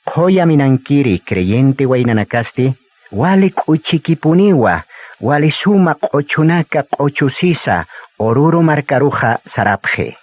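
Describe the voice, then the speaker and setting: A man's voice: mid-range voice, lively intonation, a little slow, highs cut off. A man narrating a radio drama from a script.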